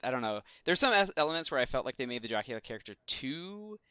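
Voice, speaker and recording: A man's voice: medium pitch, big pitch swings, fast, sharp tone, smooth, no echo, studio quality. A man co-hosting a podcast in casual chat, thinking aloud with some hesitation as he works out his opinions. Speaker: podcast co-host. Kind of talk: casual chat, pop culture discussion.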